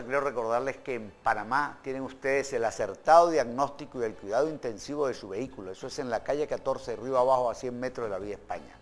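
A man in his sixties hosting a TV show from a studio, reading news, then joking his way through ad reads. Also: medium pitch. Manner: jokey, bursts into laughter during ad reads. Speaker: TV host. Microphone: studio mic.

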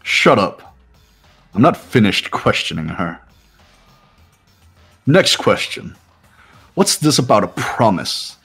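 A man's voice: on the low side, big pitch swings, takes it slowly, pauses often, fairly bright tone, slightly rough, soft background music, full character voice. A man voicing a haughty, suspicious young student in a visual novel game, talking in a cold, condescending, accusatory way. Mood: accusing.

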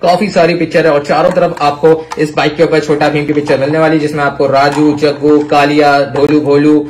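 A young adult man with an Indian accent presenting toys to children in an excited, exclamatory, emphatic way. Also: fairly high pitch, brisk, slight background noise. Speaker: toy review channel host. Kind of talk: excited toy showcase commentary for children.

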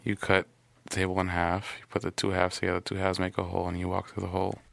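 Low male, extremely creaky, monotone, dry sound, casual riddle teller. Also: fast, no echo.

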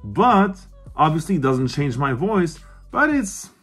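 Higher-pitched excitable man in his thirties, slightly husky. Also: measured pace, soft background music. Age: 30s. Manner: excited, swearing, informal. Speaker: vlogger, horror collector. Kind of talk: casual show-and-tell review to camera. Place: small cluttered room indoors.

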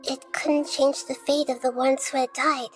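A woman voicing a gentle child-like girl deity in a video game, speaking in a measured, articulate, reflective way. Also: sits high, level tone, natural voice. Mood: gentle concern. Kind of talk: scripted game dialogue. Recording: game music behind.

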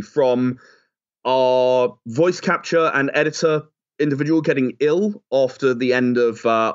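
A man speaking as an interview guest, giving advice and explaining in an earnest, emphatic way. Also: mid-range voice. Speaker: interview guest, audio drama and game creator. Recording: slightly dull, lo-fi audio.

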